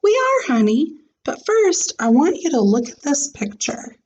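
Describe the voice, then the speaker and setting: A woman's voice: high voice, sing-song, measured pace, darkish tone, highs cut off, a little hiss or hum, pitched above the performer's own voice. A woman voicing a kindly old storybook grandmother who talks in a folksy, assured and encouraging way. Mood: fond.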